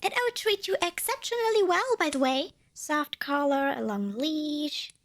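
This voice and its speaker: High-pitched, lively little demon girl, acted by a woman. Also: moderate pace. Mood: flustered, coaxing. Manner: playful wheedling salesmanship with flustered self-corrections. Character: cute little female demon, centuries old, eager, cuddle-craving. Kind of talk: scripted ASMR fantasy roleplay addressed to the listener.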